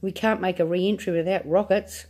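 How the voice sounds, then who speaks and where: A woman's voice: on the low side, steady tone, normal speed, darkish tone, natural voice. A woman voicing a desperate male astronaut in a read-aloud storybook.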